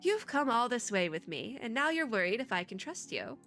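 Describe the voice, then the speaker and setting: A woman's voice: fairly high pitch, sing-song, a little fast, a bit bright, faint music behind. A woman voicing a friendly but eerie Southern motel maid in a game, with an attempted Southern accent and a folksy, teasing manner. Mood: sly friendliness.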